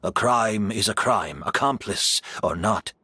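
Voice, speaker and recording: An actor playing a frail, calm, resigned gentleman in a video game, speaking in a measured, polite way. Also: lowish voice, husky, no room sound, heavily acted.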